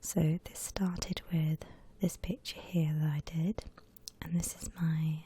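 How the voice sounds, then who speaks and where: Whispering woman, slow ASMR drawing tutorial. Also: deep voice, flat delivery. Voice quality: breathy whisper. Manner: gentle, unhurried step-by-step explaining.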